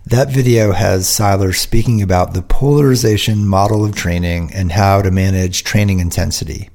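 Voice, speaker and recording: A man hosting a sports podcast, reading a steady scripted intro and asking interview questions. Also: low voice, moderate pace, creaky.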